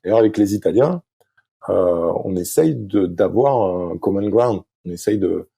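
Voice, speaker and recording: A middle-aged French-speaking man, a sailing coach as podcast guest, talking slowly with many fillers and restarts. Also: sits low, animated, creaky, dark tone, husky, no echo. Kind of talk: podcast interview, thinking aloud.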